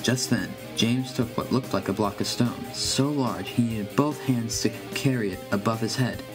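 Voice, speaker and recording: A man's voice: medium pitch, moderate pace, music in the background. A man reading a scripted first-person horror story as its narrator.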